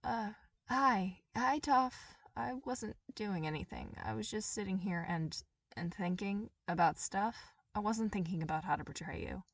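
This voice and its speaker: A woman's voice: mid-range voice, measured pace, no room sound, recorded from a distance. A woman voicing an awkward, anxious teenage boy who is apologetic and wounded, with a nervous, hesitant manner.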